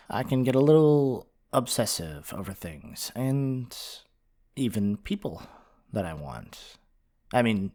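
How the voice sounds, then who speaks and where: A half-dragon male librarian, played by a man in an ASMR roleplay, speaks in a fairly low voice. He is flirty and a little possessive, yet earnest and affectionate. His address is close and deliberate, full of tender pet names. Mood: friendly, explanatory, then confessing, exasperated. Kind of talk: ASMR romantic roleplay monologue.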